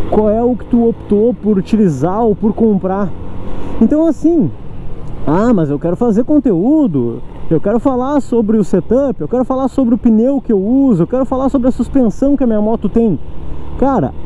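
A man's voice: extremely high pitch, warm tone. A young man with a southern Brazilian accent, talking frankly and rambling as he rides a scooter through city traffic. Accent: southern Brazilian Portuguese. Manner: rambling, frank, self-deprecating. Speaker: motovlogger. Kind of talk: casual riding commentary. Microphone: helmet or action-camera mic. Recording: muffled with light wind and traffic noise.

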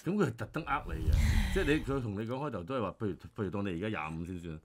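A man's voice: fairly low pitch, very fast. A man with a Hong Kong accent, a radio host in casual, joking studio chat. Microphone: desk microphone.

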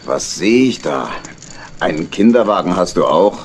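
An old gentleman badger for a children's audio drama. He is very deep and creaky, with a highly animated delivery that is deliberate, probing and stern. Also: slight background noise. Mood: affable, probing. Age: older. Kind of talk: 1970s-80s German children's audio drama acting.

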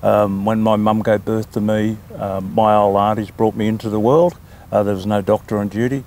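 An older Australian man with a low, gravelly voice, talking at length and easily, often pausing on an um. He speaks in a relaxed, conversational interview. A faint hiss of outdoor background noise sits behind him. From New South Wales, Australia. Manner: talkative, unhurried, with frequent ums. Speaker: land council chairman, interview guest. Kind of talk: casual interview.

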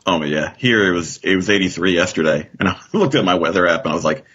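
A deep-voiced male podcast guest with a raspy edge. He talks casually and drops wry quips. His audio is slightly muffled, like an online call. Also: animated, fast talker, a bit bright. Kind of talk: casual chat.

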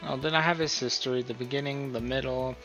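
A man's voice: mid-range voice, steady tone, fast, pushed and bright, faint music behind, rough recording. A man talking informally through a tutorial, rambling a little as he thinks aloud. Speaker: video tutorial host. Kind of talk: casual tutorial commentary, explaining a role-play character profile.

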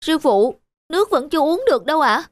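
A woman's voice: high voice, very animated, brisk. A woman voicing an earnest, respectful young boy disciple in a cartoon, polite and eager.

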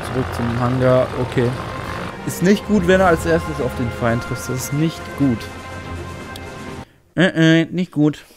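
A man giving let's play commentary on a flight game, excitable, swearing often and talking to himself. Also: medium pitch, unhurried, long pauses. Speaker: gaming channel host. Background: game music.

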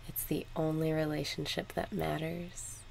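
A woman leading a scripted guided meditation in a measured, instructional way with long pauses. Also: deep voice, level tone, creaky, darkish tone, slight background noise, low-quality recording.

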